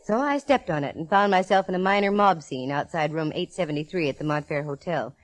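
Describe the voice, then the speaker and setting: A woman's voice: deep voice, fairly quick, no echo, close to an everyday voice, rough recording. An American actress playing a sassy, hard-boiled female private eye in 1940s radio drama, with wry, wisecracking delivery. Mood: wry narration. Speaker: lead actress and narrator. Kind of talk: 1940s radio detective acting with wry first-person narration.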